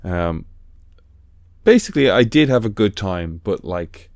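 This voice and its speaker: A man with a lowish voice talks easily on a podcast, teasing his co-host and filling his speech with "like" and "um." The recording is dry and close. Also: expressive, measured pace, a little mellow, no room sound. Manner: easygoing, teasing, filler-heavy. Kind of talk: casual chat.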